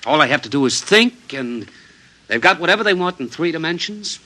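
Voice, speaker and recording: A suburban husband and father from an old radio play. His voice is bright and pressing, and it swings widely as he moves between easy assurance and protest. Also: fairly high pitch, expressive, a little fast, slight background noise. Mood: proud, breezy. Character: well-off suburban father and husband, indulgent, defensive, troubled. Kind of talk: old-time radio drama acting.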